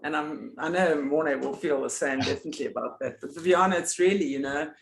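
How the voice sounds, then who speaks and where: Deep voiced lady hosting a chat, gushing n appreciative, roomy echo. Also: quick pace, creaky. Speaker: host. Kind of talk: warm heartfelt casual chat.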